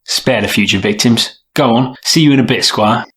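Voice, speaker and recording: A man's voice: mid-range voice, normal speed, fairly bright tone, close to an everyday voice. A man playing a cold, defiant young hostel newcomer with a curt manner, in an audio drama. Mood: cold, taunting.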